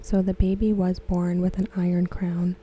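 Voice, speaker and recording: A woman reading aloud in a soft, breathy, near-whispered ASMR style, gentle and measured, close to the microphone. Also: on the low side, level tone, a little hiss or hum, rough recording. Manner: gentle, hushed, measured delivery. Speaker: ASMR host. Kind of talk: softly spoken ASMR read-aloud of forum comments.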